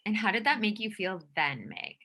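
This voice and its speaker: A woman's voice: medium pitch, expressive, moderate pace, sharp tone. A woman hosting a podcast interview, prompting her guest with encouraging questions. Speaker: podcast host. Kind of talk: interview host chat.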